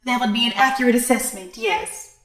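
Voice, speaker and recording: An echoing, fairly high female voice an actress playing a facility overseer. She is coolly detached, formal and clipped, showing no emotion in this audio drama. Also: steady tone, brisk, fairly bright tone, lightly acted. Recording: echoing, roomy voice.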